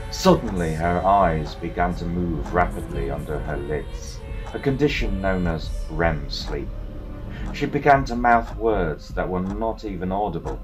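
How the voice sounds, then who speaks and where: A man narrating a dramatic scripted story in a measured, portentous manner. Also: low voice, animated. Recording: voice over music.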